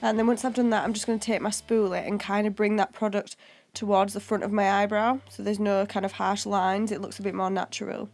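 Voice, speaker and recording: A woman's voice: medium pitch, flat delivery, brisk, darkish tone, soft background music. A young woman with a cold, chesty voice, casually narrating a makeup tutorial voiceover. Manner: casual, chatty, step-by-step explaining. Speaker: beauty vlogger. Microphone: home voiceover mic.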